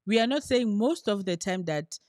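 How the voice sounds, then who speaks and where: A woman's voice: medium pitch, animated, normal speed, darkish tone, no echo, studio quality. A woman co-hosting a podcast in casual chat, talking earnestly and searching for her words. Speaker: podcast co-host.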